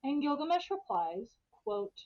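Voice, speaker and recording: High-voiced woman casually retelling a story. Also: big pitch swings, measured pace, creaky, lo-fi sound. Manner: irreverent, slangy, frequent swearing. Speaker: podcast host telling a story.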